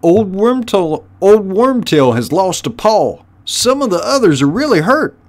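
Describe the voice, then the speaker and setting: Squeaky little rat minion from a kids book, super high, jumpy and grovelling. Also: male voice, very animated, measured pace, exaggerated voice. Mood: anxious reporting. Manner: high-pitched servile squawk, eager to obey. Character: cringing rat henchman reporting nervously to his chief. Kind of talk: storybook character voice.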